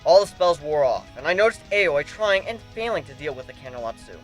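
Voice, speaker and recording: High-voiced man narrating a dramatic story. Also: very animated, brisk, fairly bright tone, low-quality recording. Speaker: amateur fan fiction reader. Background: background music.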